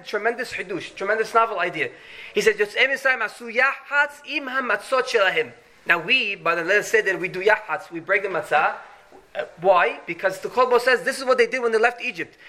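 Bright high-voiced Syrian-born rabbi lecturing. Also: male voice. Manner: earnest, builds to emphatic rising peaks. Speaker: rabbi giving a Torah talk. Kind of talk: Torah lecture.

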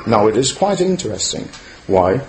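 A man's voice: on the low side, very animated, moderate pace. A man lecturing as a rabbi, teaching religious law in an emphatic, questioning way to listeners in the room. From outside Chile, now living there. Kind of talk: religious lecture to a live audience. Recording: echoey room, faint noise, clicks.

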